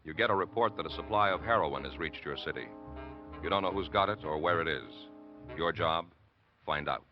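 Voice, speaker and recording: Deep grave 1950s radio announcer over guitar. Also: male voice, fairly quick. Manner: terse second-person address. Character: stern male radio drama announcer. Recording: dull old radio recording with guitar music.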